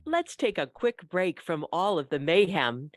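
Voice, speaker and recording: A woman's voice: on the low side, big pitch swings, unhurried, music in the background. A woman announcer reading a scripted sponsor message. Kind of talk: scripted narration.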